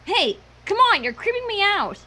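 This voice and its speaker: A man's voice: extremely high pitch, big pitch swings, moderate pace, noisy background, pitched above the performer's own voice. A man voicing a groggy, frightened female earth pony in a story, with hesitant, worried questioning. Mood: uneasy.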